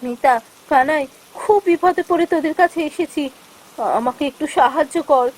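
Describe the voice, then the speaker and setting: A woman's voice: sits high, normal speed, darkish tone, natural voice, low-quality recording. A woman playing an anxious, pleading village woman in a fairy-tale cartoon. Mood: distressed, pleading.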